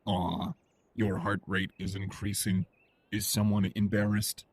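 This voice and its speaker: A man's voice: sits low, little pitch movement, measured pace, husky, dry recording, full character voice. A man playing a suit's artificial intelligence in an audio drama, matter-of-fact with a dry, teasing streak. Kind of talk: acted audio drama dialogue.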